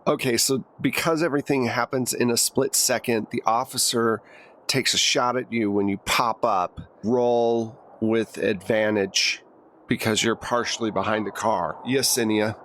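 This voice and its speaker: A man's voice: medium pitch, steady tone, measured pace, a bit bright, slight background noise. A man acting as game master, narrating action and calling for rolls in a conversational way. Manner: conversational, narrates action in plain second person. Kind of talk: running a tabletop roleplaying game.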